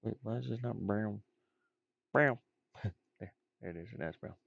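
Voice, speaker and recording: A man's voice: lowish voice, measured pace, gravelly, fairly bright tone, slightly rough, no room sound, muffled recording. A man thinking aloud in casual, rambling chat as he works on game art, muttering and making playful asides. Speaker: indie game designer streaming.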